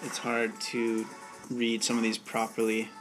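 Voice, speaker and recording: A man's voice: medium pitch, completely flat delivery, a little slow, music in the background, poor audio. A young man hosting a live bartending stream, chatting casually and laid-back with viewers. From United States. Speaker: bartending channel host.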